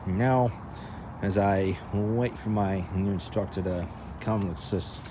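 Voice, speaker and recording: A man's voice: fairly low pitch, fairly even intonation, takes it slowly, noisy background. A man vlogging casually, rambling and joking as he talks half to himself and half to his viewers. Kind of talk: casual rambling vlog to camera.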